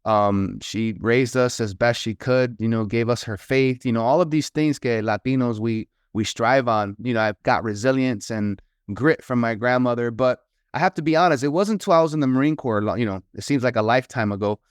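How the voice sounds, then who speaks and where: A man's voice: mid-range voice, fairly quick, few pauses, smooth, dry recording, studio quality. A middle-aged man from New York telling his life story as an interview guest, reflective and mixing in Spanish phrases. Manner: reflective storyteller who slips into Spanish, many "you know" fillers. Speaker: guest, author and consultant. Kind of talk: casual interview talk.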